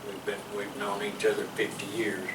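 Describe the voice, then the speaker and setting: A man's voice: on the low side, level tone, normal speed. Older Southern man, folksy rambling. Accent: Southern American. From American South. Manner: rambling, folksy, chuckles between stories. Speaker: veteran interviewee. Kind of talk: recalling memories in an interview. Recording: echoey room, mic far off, clear background noise.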